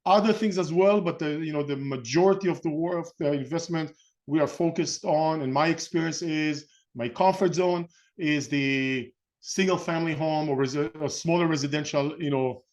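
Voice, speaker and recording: A middle-aged man with an Israeli accent hosts an informal online webinar. His voice sits a little high for a man. He talks in a halting, rambling way, often backing up to rephrase what he started. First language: Hebrew. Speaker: webinar host and real estate investment coach.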